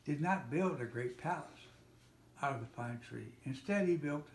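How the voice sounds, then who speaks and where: An older man reading a children's storybook aloud, a little haltingly, with small slips and self-corrections. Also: fairly high pitch, lively intonation. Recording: roomy, echoey home recording with faint noise.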